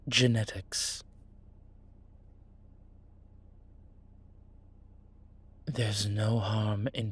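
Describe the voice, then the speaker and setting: Suave male vampire for audio roleplay, played by a man: husky, breathy, measured, sentimental with dry wit. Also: on the low side. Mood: thoughtful, hopeful. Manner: measured, wry, formal wording. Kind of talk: scripted intimate audio roleplay, one side of a conversation.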